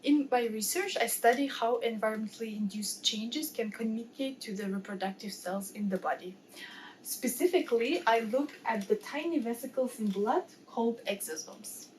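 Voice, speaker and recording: A woman's voice: a little high, normal speed, darkish tone, a little hiss or hum, low-quality recording. A young woman from Kazakhstan, a researcher presenting herself and her work from a script. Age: young adult.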